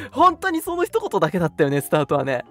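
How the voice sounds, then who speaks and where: A man's voice: very high voice, bright, forceful tone. A man in his early twenties co-hosting a radio show in casual chat, readily agreeing and echoing his partner. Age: early 20s. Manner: agreeable, echoes and affirms his partner often.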